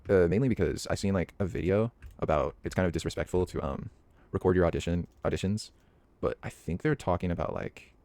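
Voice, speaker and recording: A man's voice: deep voice, a little fast, a little mellow, no room sound. A young man chatting casually while playing a game, rambling and hesitant with lots of fillers and restarts. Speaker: hobby voice actor and gaming vlogger. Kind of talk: casual rambling commentary over gameplay.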